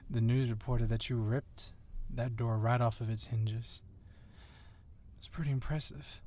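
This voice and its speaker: Man playing a mellow, admiring athletic cop. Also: mid-range voice, steady tone, measured pace, close to an everyday voice. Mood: impressed. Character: athletic, agile, admiring yet confident police officer. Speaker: voice actor in audio roleplay. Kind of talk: ASMR roleplay acting.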